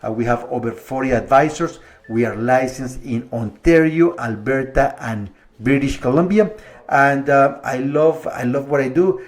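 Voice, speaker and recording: A man's voice: medium pitch, moderate pace. Guy, middle-aged, mortgage broker walking thru the numbers, chatty and easygoing, mid-range voice. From Colombia. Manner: conversational, walks through numbers step by step, slight restarts. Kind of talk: explanatory video monologue to viewers.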